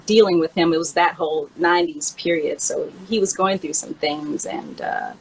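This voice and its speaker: A woman co-host reminiscing in casual chat, in an informal, halting manner. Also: fairly low pitch, fairly even intonation, slight background noise, low-quality recording. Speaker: co-host, memoir author.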